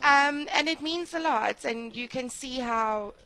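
Loud-voiced schoolteacher woman. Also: sits high, a little slow, poor audio. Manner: loud, laughs easily. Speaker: grade three teacher. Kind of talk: casual interview answers.

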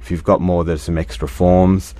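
A middle-aged man hosts a radio show in a very low voice. The sound is dry and close. He chats easily and talks through explanations patiently, leaning on fillers. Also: little pitch movement, moderate pace, mellow, dry recording. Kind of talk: casual radio chat.

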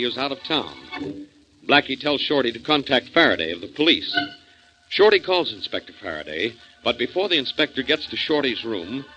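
A man's voice: mid-range voice, bright, forceful tone. A man with an American accent narrating a story recap as a 1940s radio drama announcer. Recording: dull 1940s radio recording with music.

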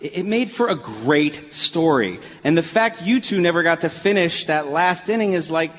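Earnest stammery son, high lively voice, radio play, echoey hall. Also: male voice, moderate pace. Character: earnest, awkward grown son, apologetic and persistent. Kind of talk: live radio play acting. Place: town hall stage before a live audience. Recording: noisy live hall, long reverb.

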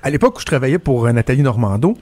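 A man's voice: mid-range voice, very animated, racing, a little mellow, dry recording, studio quality. A man with a Québécois French accent, a political commentator chatting casually and telling anecdotes with frequent restarts. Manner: storytelling, many restarts and repeated words. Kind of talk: casual radio chat.

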